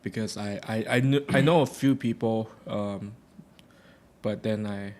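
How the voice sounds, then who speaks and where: Young man from Thailand, laid-back and halting. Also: fairly low pitch. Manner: relaxed, hesitant, many fillers. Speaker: guest musician. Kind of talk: casual podcast chat.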